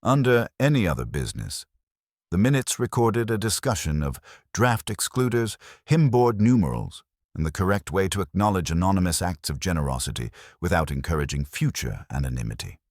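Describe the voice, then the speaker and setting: Male narrator for a wry mystery novel: very deep, formal, lively intonation, close dry sound. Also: brisk, dry recording. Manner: formal, dryly ironic. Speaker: narrator and reader. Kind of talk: scripted literary mystery narration.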